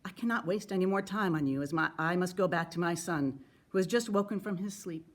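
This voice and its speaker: Low-pitched woman reading solemnly. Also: a little fast. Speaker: actor reading historical letters. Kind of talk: solemn dramatic live reading of a letter.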